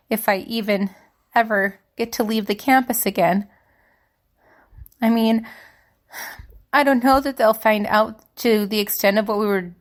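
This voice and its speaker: A woman's voice: medium pitch, lightly acted. A woman playing a young spellcaster student in an audio drama, confiding and hesitant, anxious and wistful. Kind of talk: dramatic monologue in fiction podcast.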